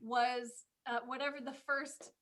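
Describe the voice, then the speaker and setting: A woman co-hosting a casual podcast, reminiscing in an animated way and quick to laugh. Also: high voice, background noise. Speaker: podcast co-host. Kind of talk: casual chat, personal reminiscing.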